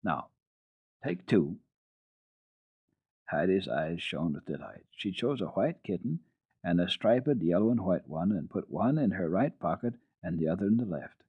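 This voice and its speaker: A man giving an expressive, deliberate dramatic reading of children's literature as a radio storyteller. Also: deep voice, husky. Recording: dull phone-like old radio recording, roomy echo.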